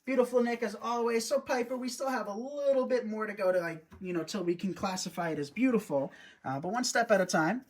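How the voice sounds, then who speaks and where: A man's voice: sits high, fairly quick. A man hosting a live wreath-making stream, chatty and upbeat, often talking to his viewers as he works. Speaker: host, wreath designer. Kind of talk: live craft demonstration.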